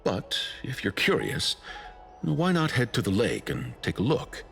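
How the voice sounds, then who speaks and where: A man's voice: medium pitch, huge pitch swings, measured pace, vocal fry, heavily acted. A man playing a menacing loyalist of an empire in a video game, speaking in a smug, ominous and formal way. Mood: smug menace. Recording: music behind the voice. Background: music.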